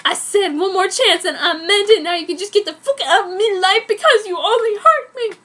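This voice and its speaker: A woman acts out a teenage goth girl full of angst and melodrama. The voice is very high and exclamatory, with overwrought delivery suited to a parody fan fiction reading. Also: pushed and bright. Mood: angry. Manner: overwrought, exclamatory, deliberately mangled words.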